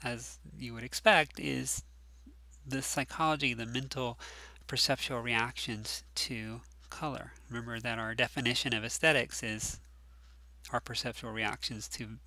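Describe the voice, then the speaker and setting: A man's voice: mid-range voice, fairly even intonation, a little slow, pushed and bright, dry recording, poor audio. A man teaching a recorded class lecture, sounding nasal and stuffed up from allergies, talking casually with lots of ums and restarts. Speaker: course instructor.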